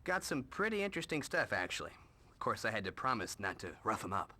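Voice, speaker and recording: A man's voice: lowish voice, sing-song, fast talker, bright, forceful tone, full character voice. An actor playing a joking, self-deprecating young male sidekick in a video game, with an American accent. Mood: eager, exasperated. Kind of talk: video game cutscene dialogue.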